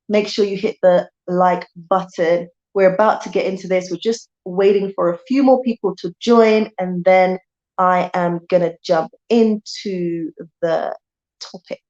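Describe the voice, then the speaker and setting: A woman's voice: medium pitch, darkish tone, dull audio. A woman from Jamaica, chatting casually with her viewers on a livestream, repeating herself and calling them guys. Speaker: channel host.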